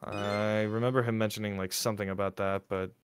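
A man's voice: lowish voice, level tone, a little fast, a little hiss or hum. A man live streamer chatting casually as he comments on a game. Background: game music.

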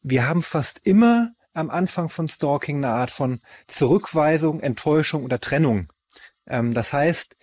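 A man's voice: medium pitch, darkish tone, no room sound. A man from explaining as an expert in an interview, matter-of-fact and didactic. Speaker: expert commentator on stalking.

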